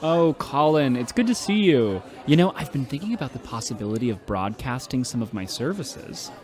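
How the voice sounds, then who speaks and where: An actor playing a genteel, wistful space priest, speaking in a mild, courteous and slightly formal manner. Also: male voice, medium pitch, big pitch swings, normal speed, a little mellow, soft background music, lightly acted.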